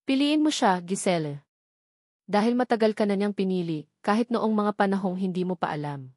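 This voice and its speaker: A woman's voice: mid-range voice, lively intonation, normal speed, a little mellow, no room sound, studio quality, close to an everyday voice. Woman reading as an earnest Filipino dying father. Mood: tender urging. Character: dying father pleading lovingly to his daughter by letter.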